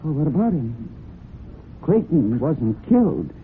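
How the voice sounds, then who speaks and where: A woman's voice: a bass voice, very animated, a little slow, heavily acted. An actress playing a strong-willed, loyal and defensive woman in a vintage radio drama, speaking with earnest, emotional insistence. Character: strong-willed adult daughter, loyal and defensive about her horse. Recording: muffled, noisy old radio broadcast recording.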